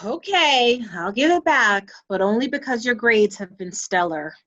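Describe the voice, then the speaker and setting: Stern mother played by an actress, scolding and emphatic, bright forceful voice, highish pitch, audio story for families. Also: animated, unhurried, dull audio. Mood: relenting. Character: stern mother of bickering children at the dinner table. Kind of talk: audio drama acting.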